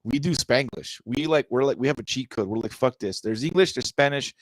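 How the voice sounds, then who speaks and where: A man's voice: mid-range voice, a little fast, dry recording. A man from Southern California co-hosting a podcast, chatting casually and jokingly, with many fillers and restarts. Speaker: podcast co-host. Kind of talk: casual chat.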